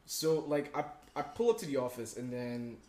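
A man's voice: mid-range voice, expressive, moderate pace, darkish tone. A man from Nigeria with a Nigerian accent, ranting emphatically in casual chat and firing off rhetorical questions. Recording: roomy echoey room.

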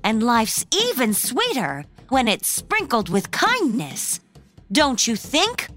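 A woman voicing a kind, sweet-voiced baker who speaks gently and sugarily, pitched higher than her narration. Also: wildly swooping pitch, takes it slowly, soft background music, full character voice.

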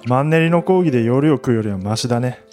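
A man's voice: medium pitch, animated, fast, a little mellow, soft background music, lightly acted. A man playing a cynical young university student in a radio drama, blunt and offhand. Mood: sulky resigned. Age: young adult. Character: jaded, sulky young male university student.

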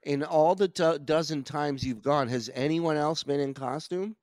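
A man's voice: on the high side, moderate pace, darkish tone, no echo, clean studio sound. A man hosting a crude comedy podcast, teasing his guest and laughing often between hesitant phrases. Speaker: host.

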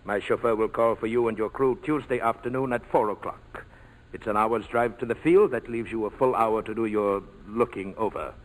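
A male actor as a wealthy, suave client in a vintage radio play. He speaks formally and precisely in a lowish voice, with a condescending, faintly sinister politeness. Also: fairly even intonation, normal speed, close to an everyday voice, rough recording. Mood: suave, controlled. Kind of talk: 1940s radio drama acting.

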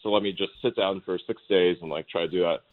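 Lo-fi muffled sound, quick-talking man, slightly low pitch, informal, anecdotal, interview guest. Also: fairly even intonation. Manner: informal, self-quoting storyteller. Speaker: interview guest, startup co-founder. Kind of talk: casual, anecdotal interview talk.

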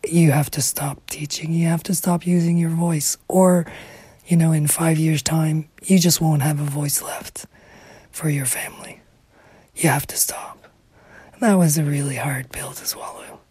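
A woman speaks in an extremely husky, breathy voice that often falls to a whisper. The recording is close and dry. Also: sits low, steady tone, unhurried, dark tone, no echo. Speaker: interviewee, former teacher.

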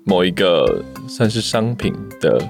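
A man with a Taiwanese Mandarin accent and a low, magnetic voice, chatting casually in a hesitant, self-deprecating way and repeating himself. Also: very animated, measured pace, gravelly. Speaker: podcast co-host and amateur voice actor. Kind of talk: casual chat. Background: music.

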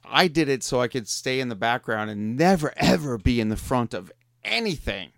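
A man's voice: mid-range voice, expressive, moderate pace, vocal fry, fairly bright tone, dry recording, studio quality. A man co-hosting an interview, talking in a joking, teasing way and laughing easily. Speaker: podcast co-host. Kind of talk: casual interview chat.

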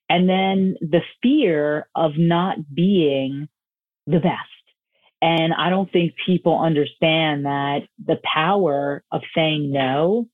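A woman's voice: sits low, dry recording. Self-assured woman on a tinny line. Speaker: guest, health coach. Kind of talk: podcast interview answers. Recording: tinny phone-line audio, dry.

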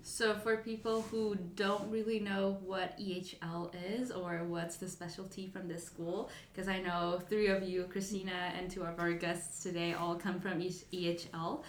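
A woman's voice: fairly low pitch, level tone, normal speed, hardly any pauses, echoey room, slight background noise. Upbeat young woman co-hosting a podcast. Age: 20s. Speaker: podcast co-host. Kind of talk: podcast intro and interview.

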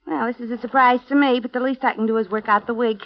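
A woman's voice: fairly high pitch, steady tone, fast, lightly acted. An actress playing a chatty, nosy young female maid with a wry, colloquial way of talking, in a vintage radio drama. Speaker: personal maid. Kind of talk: 1950s radio drama acting. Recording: dull lo-fi vintage radio broadcast.

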